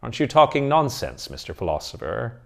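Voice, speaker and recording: A man's voice: mid-range voice, very animated, brisk, vocal fry, a bit bright, pitched above the performer's own voice. A man voicing a grieving old colonel who speaks in stern, emphatic declarations.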